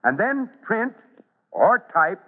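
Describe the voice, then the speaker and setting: A man acting a fatherly, commanding fire chief in an old-time radio drama, speaking plainly and with steady authority. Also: sits high, takes it slowly, breathy edge, exaggerated voice. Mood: kindly, instructive. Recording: tinny, noisy vintage radio recording.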